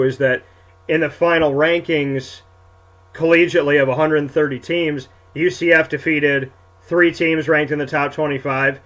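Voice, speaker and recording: A man with a high, bright voice delivers sports commentary as a show host. He argues emphatically and circles back to hammer his points home. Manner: emphatic, argumentative, repeats points for effect.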